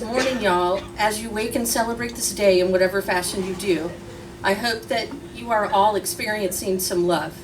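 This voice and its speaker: A woman reading a letter aloud at a memorial gathering, giving short listed phrases with pauses between them. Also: mid-range voice. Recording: distant mic, noisy crowded venue.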